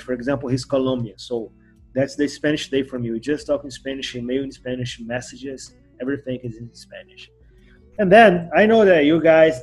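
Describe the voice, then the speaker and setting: Husky Brazilian man, animated podcast host. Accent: Brazilian Portuguese. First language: Portuguese. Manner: animated, jumps up in pitch and loudness when excited. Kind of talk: casual interview chat.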